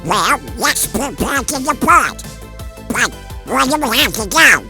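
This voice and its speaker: A performer voicing a grumpy, sarcastic male duck superhero in a comic dub, with a creaky, sharp voice. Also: medium pitch, very animated, slow, faint music behind, exaggerated voice. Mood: dry, confident. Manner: sardonic, complaining.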